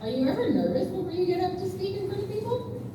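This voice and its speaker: A woman's voice: extremely high pitch, very animated, fast. A woman interviewer asking direct, friendly questions. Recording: echoey room, distant mic, noisy.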